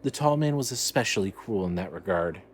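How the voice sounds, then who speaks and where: A man's voice: deep voice, lively intonation, fairly quick. A man narrating scripted fiction in a dramatic storytelling style. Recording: light noise, ambience behind.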